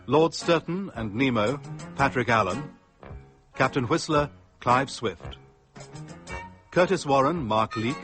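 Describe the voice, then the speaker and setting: A man announces the credits of a radio drama from a script. His voice sits at a middling pitch, with music clearly heard behind it. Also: lively intonation, slow, pauses often.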